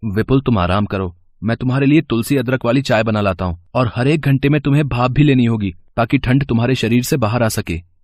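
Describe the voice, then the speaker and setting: A man voicing a kind, caring young college student in an animated moral story, earnest and gentle. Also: medium pitch, brisk, no echo, studio quality, lightly acted.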